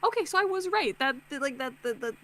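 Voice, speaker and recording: A chatty, excitable woman co-hosting a game livestream in casual conversation, prone to tangents. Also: high voice, fast, slight background noise. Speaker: co-host streamer.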